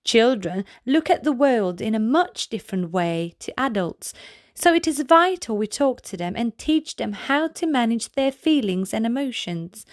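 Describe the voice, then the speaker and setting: A woman reading a children's picture book aloud as a storyteller, in a gentle, deliberate manner addressed to young listeners. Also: a little high, no echo, clean studio sound. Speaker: children's storybook reader, channel host. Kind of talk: read-aloud bedtime story narration for children.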